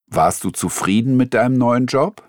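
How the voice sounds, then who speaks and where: A man in a studio, reading interview questions in a scripted exam dialogue in a clear, announcing manner. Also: medium pitch, lively intonation, normal speed, darkish tone, no echo.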